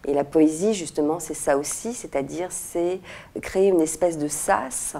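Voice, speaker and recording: Author in her forties, low mellow voice, searches for words, lapel mic. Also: measured pace, gravelly. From France and Morocco. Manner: thoughtful, many hesitations and restarts. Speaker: author interviewed. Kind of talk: reflective interview answers. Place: indoor room with red walls, seated in armchair.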